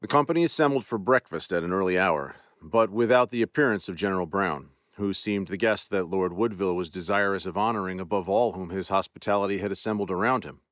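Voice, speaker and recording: A man hosting a podcast, narrating a classic ghost story in a measured, deliberate way. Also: sits low, fairly bright tone, no echo, faint music behind.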